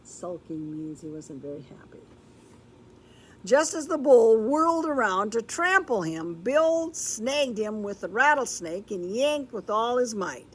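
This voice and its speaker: An older woman reading a children's story aloud in a deliberate, expressive way, with explanatory asides. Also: on the high side, a little hiss or hum. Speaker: storybook reader. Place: indoor room with books, like a classroom or library.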